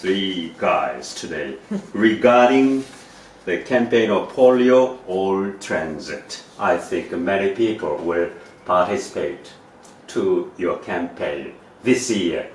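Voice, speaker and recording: Korean-native male host, slow and low, halting English, echoey room. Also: animated. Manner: halting English, frequent listening murmurs. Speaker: interviewer. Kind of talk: casual interview questions. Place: living room indoors. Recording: roomy echo, distant mic, faint noise.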